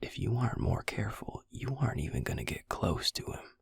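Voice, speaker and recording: A man playing a protective, exasperated vampire in an intimate audio roleplay, speaking slowly and wryly in a low, breathy near-whisper. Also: very animated, no room sound, exaggerated voice. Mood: grave, earnest. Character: protective, exasperated male vampire tending a wounded werewolf. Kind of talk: intimate audio roleplay acting, addressed to the listener.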